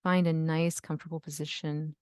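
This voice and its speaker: A woman leading a guided yoga nidra relaxation, giving gentle, soothing instructions with long pauses. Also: low voice, flat delivery, clear, resonant tone, dry recording. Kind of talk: guided meditation.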